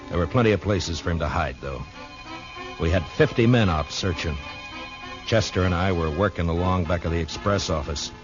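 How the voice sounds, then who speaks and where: A man acting a grim, steady American marshal in a radio western, laconic and authoritative. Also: sits low, normal speed, natural voice. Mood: grave, reflective. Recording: dull vintage 1950s radio recording with music.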